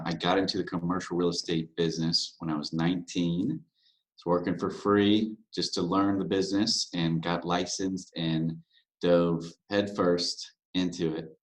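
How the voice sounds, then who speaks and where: A man in his thirties, a broker from Louisiana, answering interview questions in a relaxed, candid way with plenty of fillers. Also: deep voice, low-quality recording. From Louisiana, USA. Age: 30s. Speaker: guest, real estate broker. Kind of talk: podcast interview.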